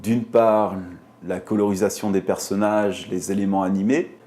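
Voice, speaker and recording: A man's voice: lowish voice, steady tone, normal speed, warm tone. A man in an interview, methodically explaining how coloring works in animation.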